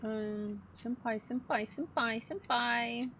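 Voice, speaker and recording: A woman reacting to a video game in casual, slangy chat, playful and quick to exclaim. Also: on the high side, fairly even intonation, fairly bright tone, slight background noise. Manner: slangy, playful, exclamatory, sing-song asides.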